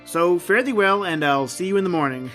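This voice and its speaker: A man's voice: sits high, lively intonation, a little fast, fairly bright tone, pitched above the performer's own voice. A man playing a tormented, haunted husband over music. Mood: cheerful, casual. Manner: dramatic, brooding, anguished. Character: tormented lost husband, haunted and despairing, recounting his doom. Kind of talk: old-time radio horror monologue.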